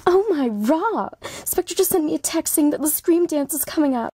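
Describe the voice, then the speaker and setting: A woman voicing excitable, fashion-loving teenage monster girls from a cartoon in a perky, gushing manner. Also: high voice, normal speed, voice pushed up. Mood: perky, excited.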